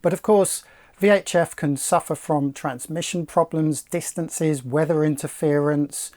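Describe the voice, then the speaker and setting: A middle-aged man presenting to camera, explaining things in a measured, articulate, slightly formal way. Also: fairly high pitch, steady tone, darkish tone. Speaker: sailing channel presenter.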